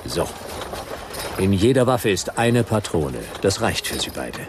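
A man's voice: lowish voice, big pitch swings, unhurried, background noise. A man playing a hard-boiled, impatient detective in a radio drama, curt and sarcastic. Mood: commanding.

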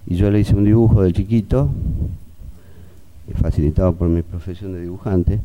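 A man's voice: sits low, steady tone, normal speed, noisy background. A man recalling events in a conversational way. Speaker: illustrator witness. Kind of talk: conversational recollection. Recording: slightly dull, lo-fi sound.

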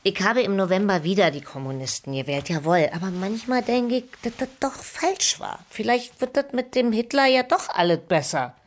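A woman's voice: mid-range voice, lively intonation, moderate pace, hardly any pauses, a little hiss or hum, natural voice. An actress playing a sentimental middle-aged landlady in a German radio play, colloquial, sighing and complaining. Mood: confiding.

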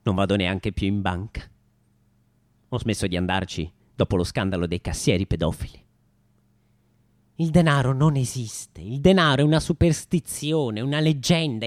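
A man in his late twenties performing a satirical radio comedy monologue, theatrical and mock-nostalgic, with rhetorical questions and hesitant repetitions. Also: fairly high pitch. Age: late 20s.

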